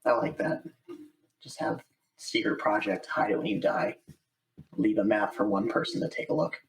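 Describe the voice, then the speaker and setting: A man's voice: lowish voice, animated, normal speed. A man answering as an interview guest, chatting casually in a hesitant, thoughtful way. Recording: slightly distant microphone.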